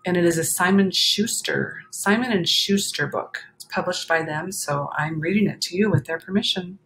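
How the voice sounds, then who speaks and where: A woman reading a children's picture book aloud, expressive, with chatty explanatory asides to young listeners. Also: fairly low pitch, moderate pace, slight background noise, poor audio.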